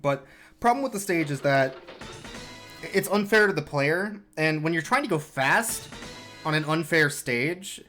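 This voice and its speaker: A man's voice: a little high, expressive, measured pace, sharp tone. A young man casually commentating his speedrun of a game, explaining tricks with wry, self-mocking asides. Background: game music.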